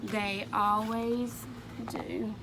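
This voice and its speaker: A woman reading a children's picture book aloud in a slow, gentle storytelling manner, pausing to ask her listeners questions. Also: medium pitch, level tone, background noise.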